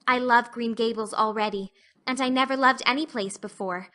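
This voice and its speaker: A woman's voice: a little high, moderate pace, pushed and bright. A woman voicing an earnest, dreamy, talkative orphan girl with effusive, flowery speech in an audiobook. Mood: happy. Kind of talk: audiobook acting.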